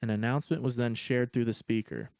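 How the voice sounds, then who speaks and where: A man's voice: mid-range voice, fairly even intonation, brisk, creaky, darkish tone, clear, resonant tone, dry recording. A man reading a scripted story aloud as its narrator.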